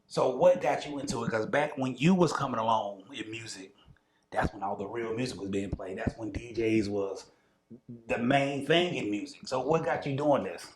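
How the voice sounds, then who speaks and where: A man's voice: mid-range voice, moderate pace. An American man hosting a casual interview show, asking questions in an informal, slangy, conversational way.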